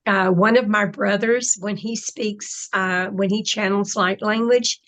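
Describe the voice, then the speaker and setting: A woman's voice: medium pitch, steady tone, unhurried, a bit bright, rough recording. A woman in casual conversation, explaining her spiritual channeling in a reflective way, with trailing phrases.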